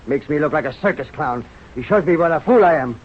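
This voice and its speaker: An actor playing an expansive, talkative, beer-loving scientist with a grandiose manner in a radio drama. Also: male voice, fairly high pitch, lively intonation, fast talker, slightly rough. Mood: admiring, grand. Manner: grandiose, exclamatory. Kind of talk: 1930s-40s radio drama acting. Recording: muffled old radio recording, faint music.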